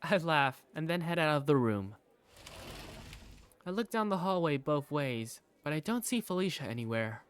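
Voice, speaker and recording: A man voicing a curious, self-doubting high school student who narrates a visual novel fandub in the first person. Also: very animated, normal speed, clean tone. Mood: curious, uneasy.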